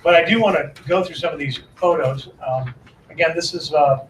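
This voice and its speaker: A man's voice: on the high side, brisk, recorded from a distance, a little hiss or hum. Chatty man in a roomy hall. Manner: chatty, joking. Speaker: moderator, interviewer. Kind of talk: moderating a public talk. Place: lecture hall before an audience.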